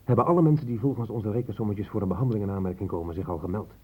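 A man's voice: on the low side, rapid-fire, darkish tone, slightly rough. An actor playing a blunt, sceptical police inspector in a Dutch radio drama, pointed and sardonic in his questioning. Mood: sharp, suspicious. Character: blunt, sharp-tongued male police inspector, sceptical and accusing.

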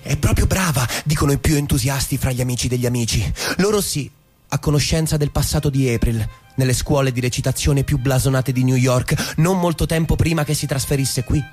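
An actor playing a dry, deliberate narrator and office husband in a literary stage drama, reciting in a theatrical way. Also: male voice, medium pitch, darkish tone, close to an everyday voice. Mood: wry, confiding. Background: music.

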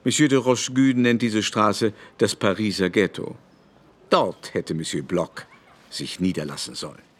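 A man's voice: fairly low pitch, unhurried, breathy edge. A man playing a haughty, affected baron in a literary radio drama, with a pompous, declamatory manner. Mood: indignant, disdainful. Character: haughty aristocratic baron, affected, brusque and venomously bigoted.

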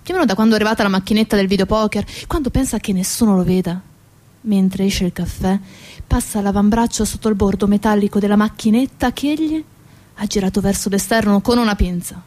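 Woman narrating a theatre monologue, mid-pitched. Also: quick pace, a little mellow. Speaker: stage actress and narrator.

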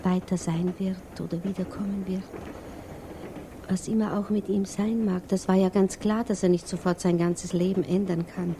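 An actress playing a lovesick, restless young widow who thinks aloud intimately in a 1950s radio drama. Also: female voice, mid-range voice, steady tone, moderate pace, noisy background, natural voice. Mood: dreamy. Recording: 1950s radio recording, music behind.

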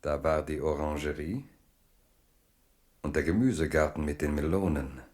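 A man narrating a historical tale in a German radio play as a measured, reflective storyteller. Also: rumbling low, steady tone, darkish tone. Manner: measured, contemplative. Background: music.

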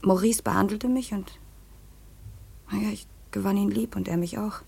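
A medium-pitched, sorrowful young widow in a 1950s radio play. She speaks hesitantly and earnestly, as if confessing. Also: fairly even intonation, normal speed, vocal fry, dark tone, slightly rough. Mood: sorrowful, confiding. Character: troubled young widowed aunt, confiding and sorrowful.

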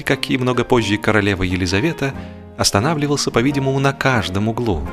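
A man reading a humorous novel as a scripted audiobook, telling it in an expressive, literary way. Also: on the low side. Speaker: audiobook narrator.

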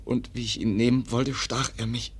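A man's voice: medium pitch, level tone, moderate pace, slightly rough, natural voice. An actor in a German radio drama playing a wounded young man, weak and in pain, gasping out broken, clipped sentences. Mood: weak, labouring.

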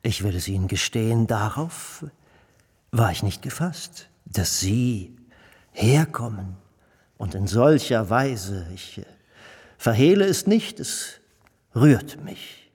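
A man playing a pompous, menacing Nazi propaganda minister in a German radio play, theatrical, swinging from hushed to booming. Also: big pitch swings, slow pace. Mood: mock-sentimental. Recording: long hall reverb.

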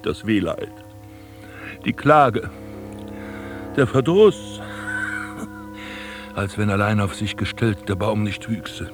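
An actor playing an embittered, melancholy aristocratic prince in a German radio drama, with theatrical, eloquent delivery. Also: male voice, fairly low pitch, lively intonation, slow pace, long pauses, over music. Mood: brooding. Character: embittered, melancholy aristocratic prince recalling his wasted life and burial.

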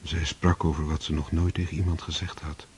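A male narrator gives solemn, measured narration for a radio play. His voice is very deep and dark, with a faint hiss in the recording. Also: flat delivery. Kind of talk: scripted narration.

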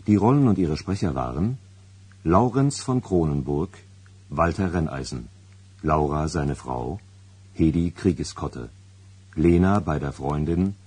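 A male radio announcer reading scripted credits in a deep, husky voice. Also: animated, unhurried, darkish tone, no echo.

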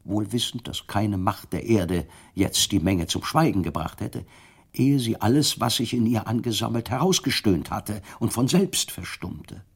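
A man's voice: lowish voice, animated, unhurried, gravelly, breathy edge. A man narrating a radio drama in a dramatic, vivid storytelling manner.

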